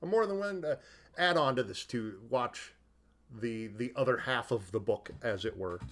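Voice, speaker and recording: Animated man chatting about films. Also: medium pitch, moderate pace. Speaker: podcast co-host. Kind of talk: casual film discussion, wry commentary.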